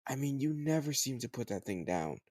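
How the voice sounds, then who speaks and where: A man playing a teasing, slightly older home tutor who gently chides the listener in a conversational, intimate roleplay audio drama. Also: medium pitch, very animated, a little fast, breathy edge, dry recording, clean studio sound. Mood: teasing, coaxing.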